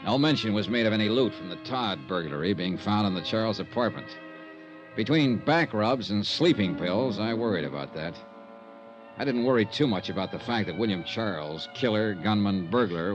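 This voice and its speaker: A hard-boiled male investigator voiced by an actor from a 1950s radio detective show. His low voice moves through lively swings of pitch, dry and clipped, with music behind the vintage recording. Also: normal speed, muffled recording, close to an everyday voice. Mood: wry, matter-of-fact. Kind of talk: 1950s radio detective drama acting and narration.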